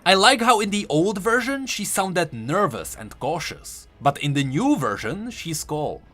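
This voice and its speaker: A man's voice: high voice, big pitch swings, a little slow, bright, forceful tone. A man doing an enthusiastic, conversational voice-over commentary as a video host. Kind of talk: game lore commentary voice-over.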